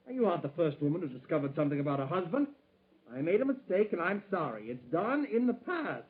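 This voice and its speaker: A defensive husband pleads in an old radio drama. His voice is high and very animated, wheedling and urgent. The sound is thin and tinny like a 1940s broadcast. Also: fast. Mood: pleading, defensive.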